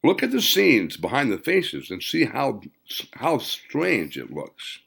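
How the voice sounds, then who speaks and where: Narrator as a musing military man, creaky. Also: medium pitch, wildly swooping pitch, slow pace, a bit bright, heavily acted. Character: military man, observant and puzzled. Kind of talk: audiobook character dialogue.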